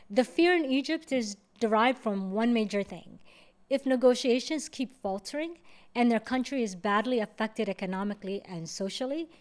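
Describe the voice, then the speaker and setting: Higher-voiced woman explaining current affairs. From Somalia. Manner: measured, explains step by step. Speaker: host answering viewer questions. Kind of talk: explanatory talk to camera.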